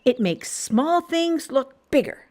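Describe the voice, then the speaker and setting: A woman's voice: sits high, expressive, slow pace, darkish tone, pitched above the performer's own voice. A woman voicing an imaginative little girl playmate in a children's storybook, explaining things with enthusiasm. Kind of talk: children's storybook read-aloud.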